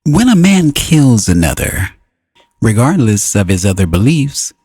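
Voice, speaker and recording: A man narrating a book as an audiobook reader, in deliberate, solemn phrases broken by frequent pauses. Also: mid-range voice, big pitch swings, a little mellow, husky, dry recording, clean studio sound.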